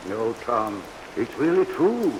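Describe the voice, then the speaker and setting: An actor playing a gentle, eerie old morgue attendant who talks with the dead, earnest and folksy, in a vintage radio drama. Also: male voice, medium pitch, poor audio. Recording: vintage radio recording with rain hiss. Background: steady rain.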